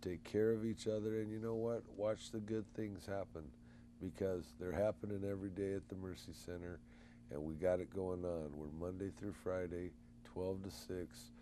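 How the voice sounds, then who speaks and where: A man co-hosting a call-in show, animated and folksy, rallying listeners in a casual way. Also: sits low, normal speed, a little hiss or hum. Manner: animated, folksy, preachy promoting. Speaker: co-host.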